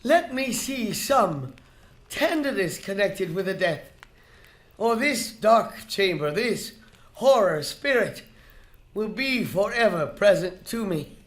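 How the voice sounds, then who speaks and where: Frightened old miser played by a male actor, high-pitched, halting and beseeching, for radio drama. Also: lively intonation, fairly bright tone, heavily acted. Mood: pleading dread. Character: frightened, repentant old miser pleading with a ghost.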